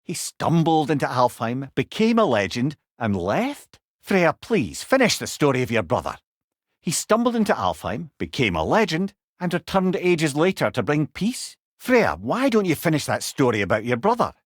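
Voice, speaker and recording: A man's voice: fairly high pitch, huge pitch swings, normal speed, dry recording, studio quality. A man voicing a witty, wise old storyteller in a video game, with a Scottish accent and an eloquent, wry, conversational manner. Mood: curious, prompting. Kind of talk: dramatic video game dialogue.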